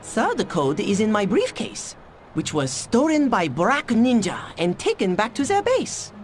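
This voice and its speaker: An eccentric, clever scientist for a video game, with a Japanese accent. The delivery is stilted and proud, yet very animated in pitch. Faint music sits behind it. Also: female voice, unhurried. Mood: explaining. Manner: stilted formal phrasing with dropped articles. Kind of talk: video game cutscene voice acting.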